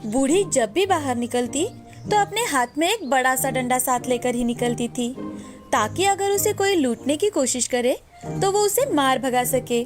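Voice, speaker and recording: Woman, scripted moral story narrator, high pitch, prominent background music. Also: expressive, normal speed.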